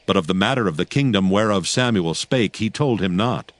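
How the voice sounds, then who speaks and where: A man narrating dramatized scripture as a solemn, measured storyteller. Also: on the low side, animated, no echo.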